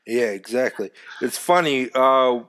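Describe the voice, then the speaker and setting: A man with a deep, loud voice, telling animated stories in casual chat and swearing casually. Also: a little slow. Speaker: former youth music event host.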